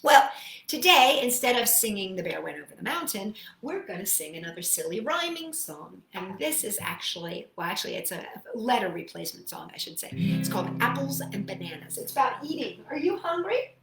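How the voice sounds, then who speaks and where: A woman's voice: mid-range voice, big pitch swings, fairly quick, creaky, fairly bright tone, echoey room, soft background music, low-quality recording. A woman hosting a children's storytime, reading and chatting expressively and speaking directly to young listeners. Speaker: children's storytime host and singer. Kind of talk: children's storytime read-aloud and sing-along.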